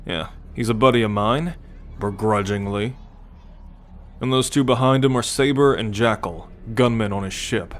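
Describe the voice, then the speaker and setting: A man's voice: lowish voice, normal speed, lightly acted. A man voicing a cocky, teasing alien military scout and possessive captor in an ASMR roleplay, boastful and sardonic with slangy swearing. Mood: brash, teasing. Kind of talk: ASMR roleplay acting addressed to the listener.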